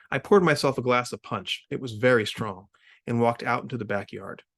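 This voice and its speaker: A man introducing and reading his own short story as its author, in the style of a literary reading. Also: medium pitch. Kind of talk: informal spoken introduction, then literary reading. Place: remote video reading series.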